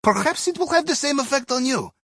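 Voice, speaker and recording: An actor playing a genial, wry Russian ex-navy submarine captain who guides a heist in a video game, with a Russian accent and a conspiratorial manner. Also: male voice, very high voice, expressive, fast talker, fairly bright tone, dry recording, clean studio sound, full character voice. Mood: wry, instructive. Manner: wry, conspiratorial, tags statements with questions. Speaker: mission handler. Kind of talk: video game mission dialogue over comms.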